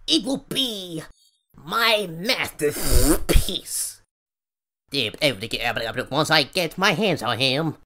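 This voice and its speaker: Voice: medium pitch, creaky, pushed and bright. A voice actor performing an audition reel of cartoon characters in an exaggerated delivery.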